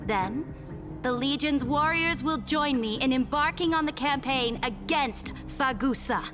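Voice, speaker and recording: An imperious game empress with a high voice and cold, haughty authority. She delivers formal, measured oratory as if to her subjects, over faint music. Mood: commanding. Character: imperious, cold, commanding female ruler addressing her council. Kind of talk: dramatic game voice acting.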